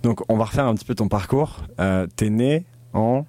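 A man's voice: fairly low pitch, moderate pace, dark tone, no room sound. A man hosting a casual conversational interview, asking questions in an informal, bantering way.

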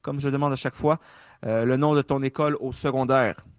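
A man's voice: mid-range voice, fairly even intonation, a little fast, no echo, studio quality. A man who hosts a radio interview, asking questions in an informal way with frequent fillers.

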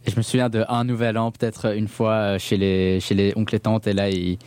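A man's voice: fairly low pitch, little pitch movement, fairly quick, a little mellow, smooth, dry recording. A young man from Belgium, born in northern England, chatting casually as an interview guest in informal, slangy speech mixed with English words. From born in northern England, grew up in Belgium. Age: young adult.

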